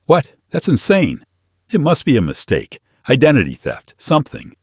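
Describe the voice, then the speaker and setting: A man's voice: medium pitch, huge pitch swings, moderate pace, husky, dry recording, clean studio sound, voice pushed up. A man voicing a panicked, evasive wife who pleads her case in an audio story. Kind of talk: audio story character dialogue.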